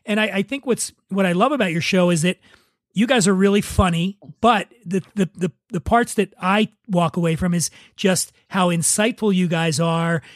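A man with a notably high voice hosts a podcast in casual, conversational style. The sound is dry and close, and his talk is loose and informal. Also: dry recording. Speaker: podcast host.

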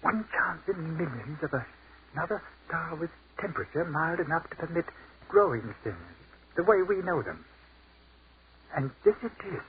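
A man acting an awestruck captain in an old-time radio horror play. He is intense and dramatic, halting between phrases, his pitch moving widely. The sound is muffled 1940s radio with a light hiss. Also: expressive, lightly acted. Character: earnest army captain, awestruck by the afterlife.

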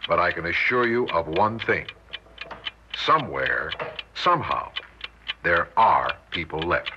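Deep-voiced, weary, steady American father. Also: measured pace. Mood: calm, reassuring. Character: weary, steady, reassuring father sheltering his family underground. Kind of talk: 1960s radio drama acting. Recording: muffled 1960s radio recording, noisy.

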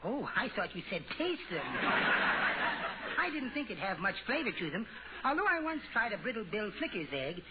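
A man's voice: high-pitched, very animated, moderate pace. A man playing a meek, childlike, henpecked husband with a mild, hesitant manner in an old radio comedy. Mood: meek, cheerful. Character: meek, henpecked little husband fond of treats. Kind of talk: 1940s-50s radio comedy acting. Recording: muffled, very noisy old radio recording.

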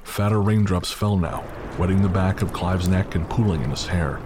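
A man's voice: deep voice, moderate pace, noisy background. A man giving scripted, dramatic narration for an audio story. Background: music, rain and storm sound effects.

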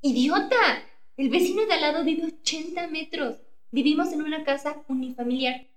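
A woman's voice: high-pitched, normal speed, reverberant, close to an everyday voice. A woman playing a bossy, suspicious wife scared of burglars, nagging urgently and scornfully in a comedic radio play. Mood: scolding, scornful.

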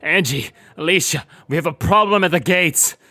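A man's voice: fairly high pitch, normal speed, noisy background, lightly acted. A man playing a grim camp guard in an audio drama, reporting in terse, clipped phrases. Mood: urgent. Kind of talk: naturalistic audio drama acting.

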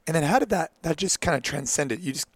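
A man hosting an interview aimed at gym owners, asking questions in a quick, eager way. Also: a little high, expressive, no echo. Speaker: podcast host for gym owners. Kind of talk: interview host.

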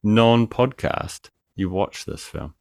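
A man's voice: lowish voice, expressive, slow pace, vocal fry, darkish tone, no echo, clean studio sound. A man co-hosting a podcast by remote call, joking crudely and swinging between mumbling and exclaiming. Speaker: podcast co-host. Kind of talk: casual podcast banter. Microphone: remote call mic.

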